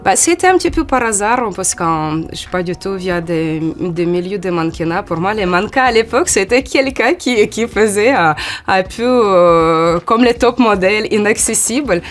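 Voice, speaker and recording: Une femme d'une quarantaine d'années, d'origine ukrainienne, avec un ukrainien, qui répond à une interview sur un ton décontracté et hésitant. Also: female voice, medium pitch, moderate pace. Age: 40s. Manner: hesitant, many "euh" fillers, lively when acting out quotes. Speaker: model, interview guest. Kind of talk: casual interview answers.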